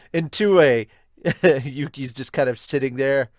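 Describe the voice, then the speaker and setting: A man hosting a manga review, giving casual read-along commentary with amused, excitable asides. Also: mid-range voice, slow, no echo, studio quality. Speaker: host of a manga review podcast.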